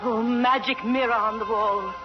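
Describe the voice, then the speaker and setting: A vain wicked queen calls to her mirror in a shrill, theatrical falsetto, as a man puts her on. It is a 1940s radio play, and the old broadcast sounds muffled and dull. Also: steady tone, normal speed, over music. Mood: imperious.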